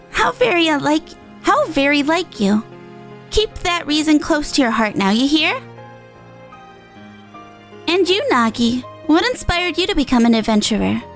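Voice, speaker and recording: A woman's voice: high voice, big pitch swings, measured pace, long pauses, a bit bright, voice pushed up, full character voice. A woman voicing a small, motherly innkeeper from a video game, caring, folksy and encouraging. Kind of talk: video game dialogue read in character. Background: game music.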